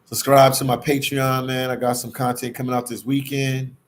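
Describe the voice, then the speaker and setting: A man's voice: medium pitch, flat delivery, moderate pace. A man hosting a casual livestream, chatting to viewers and reading their comments, with a slangy, repetitive way of talking that often addresses them as "man". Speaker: live stream host reading viewer comments. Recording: clear background ambient noise.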